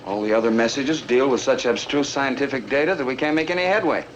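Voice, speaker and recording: A man playing an authoritative American admiral in a 1950s film, brisk and commanding. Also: mid-range voice, lightly acted. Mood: baffled. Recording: dull, faintly noisy 1950s film soundtrack.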